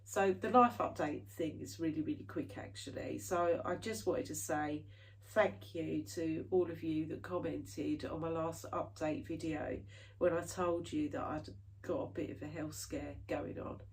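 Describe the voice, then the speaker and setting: A woman's voice: low-pitched, fairly even intonation, unhurried, creaky, far from the mic, poor audio. A British woman chatting casually to her viewers in a rambling way, full of fillers and restarts, her nose a bit stuffy from hay fever. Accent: British English. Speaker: cross-stitch vlogger. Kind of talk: casual chat to camera.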